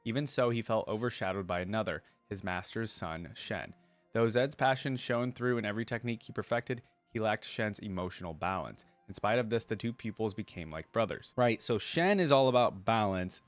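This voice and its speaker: Low-voiced man, dry close sound, casual reactor. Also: dry recording. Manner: informal, thinks out loud. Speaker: reaction video host. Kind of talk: casual commentary mixed with reading aloud.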